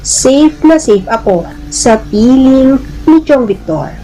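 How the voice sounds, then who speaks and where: A woman narrating a dramatic first-person story in a deliberate, emotive manner. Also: high voice, animated, warm tone, rough recording. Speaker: narrator of a listener's letter drama. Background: music.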